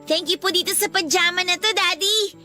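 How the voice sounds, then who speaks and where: A little Filipino girl with a very high, piercingly bright voice. She is sweet, eager and polite, a grateful daughter in a radio drama, with soft music underneath. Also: steady tone, brisk, heavily acted. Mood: grateful, excited.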